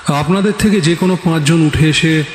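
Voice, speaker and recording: A man's voice: a little high, brisk, roomy sound, big-room sound, lightly acted. Man playing a showy, theatrical stage magician. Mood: commanding. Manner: theatrical, declamatory. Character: showy, boastful male stage magician, commanding and teasing. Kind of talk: Bengali audio drama acting. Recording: background music.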